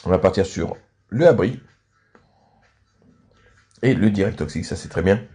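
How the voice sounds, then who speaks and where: A man's voice: deep voice, lively intonation, normal speed, mellow. A man hosting and casually commentating on video game matches, slightly stuffed up with a cold. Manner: frequent throat clearing and coughing.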